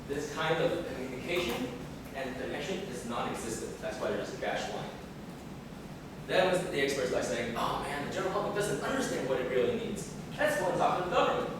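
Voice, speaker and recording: A man gives a casual, animated talk to debate students and keeps asking them questions. His voice is middle-pitched. It is recorded from far off in a very echoey room with clear background noise. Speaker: debate coach.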